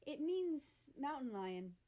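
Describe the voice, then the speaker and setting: A woman's voice: sits high, very animated, takes it slowly, dark tone, voice pushed up, heavily acted. Woman reading as a playful, teasing young man.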